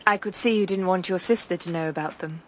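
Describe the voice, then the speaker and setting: A woman's voice: fairly low pitch, fast, a little hiss or hum, lightly acted. An actress in a radio drama playing a frank, enigmatic young upper-class woman. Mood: gentle.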